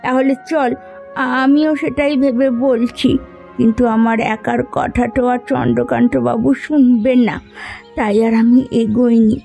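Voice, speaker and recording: A woman's voice: a little high, steady tone, a little slow, gravelly, dark tone, faint music behind. A woman voicing a long-suffering, plain-spoken villager in a fairy-tale cartoon. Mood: concerned.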